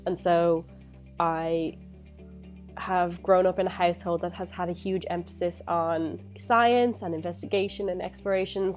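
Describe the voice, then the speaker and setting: A woman's voice: on the low side, darkish tone. A young woman from Dublin, a physics student, telling school students thoughtfully about her path to college. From Dublin, Ireland. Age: young adult. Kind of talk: personal account to school students.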